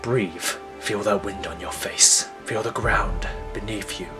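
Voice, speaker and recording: A man playing a calm, commanding scientist mentor in an audio drama, speaking in a hushed, intense way. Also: lowish voice, over music, pitched below the performer's own voice, close to an everyday voice. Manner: hushed, slow, intense coaching.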